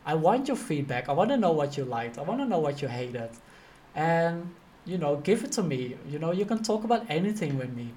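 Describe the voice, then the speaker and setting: Higher-voiced man with a Dutch accent. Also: very animated, brisk, darkish tone. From Netherlands. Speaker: career coach and course instructor. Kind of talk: motivational online course lesson, informal direct address.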